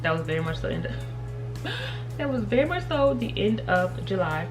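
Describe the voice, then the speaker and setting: A woman's voice: medium pitch, big pitch swings, moderate pace, loud music behind the voice. Chatty, giggly woman of thirty. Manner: chatty, giggly, addresses viewers as y'all. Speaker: beauty and faith vlogger. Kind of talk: casual makeup tutorial chat.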